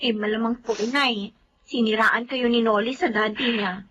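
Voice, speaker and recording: An actress playing an earnest, supportive young daughter in a Filipino radio drama. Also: female voice, fairly high pitch, steady tone, moderate pace, fairly bright tone, highs cut off. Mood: sympathetic. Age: young adult.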